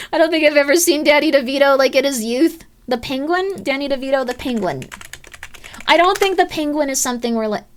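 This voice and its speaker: Chatty young woman streamer, bouncy pitch. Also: a little fast. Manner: rambling, lots of "like" fillers, laughs often, swings up and down in pitch. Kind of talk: casual live-stream chat reading viewer comments.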